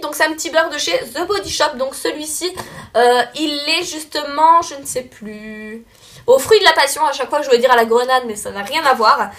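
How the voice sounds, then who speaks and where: A woman's voice: sits high, fairly bright tone. Chatty young woman with a stuffy voice. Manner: chatty, many fillers and self-corrections. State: slightly stuffy from a cold. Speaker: beauty vlogger. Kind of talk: casual monthly favorites chat.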